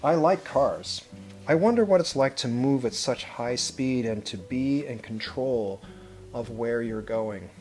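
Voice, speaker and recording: Male storybook reader, mid-pitched voice, measured and expressive delivery, instrumental music underneath. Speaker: head of school reading a picture book to children. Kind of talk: storybook read-aloud with brief asides.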